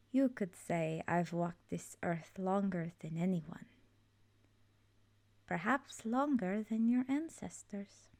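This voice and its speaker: A woman voicing an ancient, immortal swamp witch in an ASMR roleplay, soft-spoken and often whispery, gently knowing and wistful. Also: mid-range voice, no room sound. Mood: calm, reminiscing.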